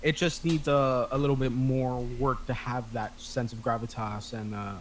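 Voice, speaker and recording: Man, medium pitch, casual discussion, tentative, rambling, trailing sentences, faintly noisy room. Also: fairly even intonation, moderate pace. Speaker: script reading participant giving notes. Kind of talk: casual group feedback discussion.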